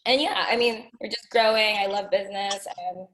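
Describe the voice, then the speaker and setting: A woman's voice: mid-range voice, fairly even intonation, normal speed, a bit bright, low-quality recording. Chatty woman on a video call. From Philippines. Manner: chatty, enthusiastic, many "like"s. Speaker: photographer and studio owner. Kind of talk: casual panel chat.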